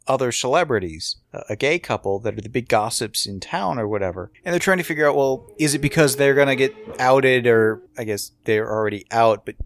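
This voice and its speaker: A man's voice: mid-range voice, expressive, brisk, few pauses. A man co-hosting a podcast, talking through a TV show in a casual, explanatory, conversational way. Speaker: podcast co-host. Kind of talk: casual TV review discussion.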